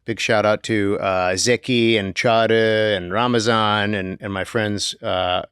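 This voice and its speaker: A man's voice: lowish voice, fairly even intonation, slow pace, fairly bright tone, clean tone, dry recording, clean studio sound. A middle-aged man co-hosting a podcast in casual, jokey conversation. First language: Turkish. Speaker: podcast co-host. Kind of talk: casual chat.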